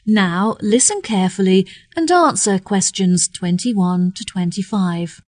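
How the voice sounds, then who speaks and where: A woman reading scripted exam instructions in a measured, formal, carefully enunciated manner. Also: medium pitch, darkish tone, no echo, clean studio sound. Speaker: exam announcer.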